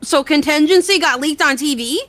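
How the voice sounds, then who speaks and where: A woman's voice: high-pitched, normal speed, fairly bright tone, no room sound. A woman co-hosting in a casual reaction chat, informal and inquisitive, with loose profane language. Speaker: co-host reacting to videos.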